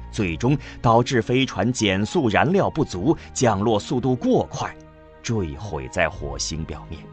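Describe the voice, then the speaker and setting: A man reading dramatic scripted narration for a science fiction radio drama, over music. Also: sits low.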